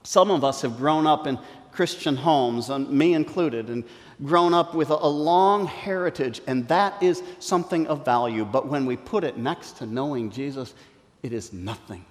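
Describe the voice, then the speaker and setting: A man preaching a sermon, explaining scripture with emphatic stresses that fall to quiet, intense phrases. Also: medium pitch, moderate pace. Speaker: pastor. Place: church hall. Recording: long reverb of a church hall.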